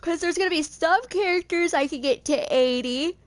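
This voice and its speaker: A woman's voice: very high voice, normal speed, dry recording. A woman in her twenties casually thinking aloud as she rambles through commentary on a video game. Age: 20s. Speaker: gaming video creator.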